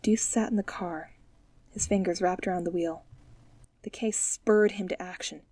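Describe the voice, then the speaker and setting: A woman narrating an audiobook in an even, measured storytelling manner. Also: fairly low pitch, dry recording. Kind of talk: scripted narration.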